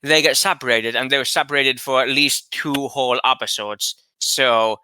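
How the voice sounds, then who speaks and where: A man co-hosting a casual chat, lively and animated, often restarting while he searches for words. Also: medium pitch, normal speed, clean tone, no room sound. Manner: animated, rising into excited retelling. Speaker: podcast co-host.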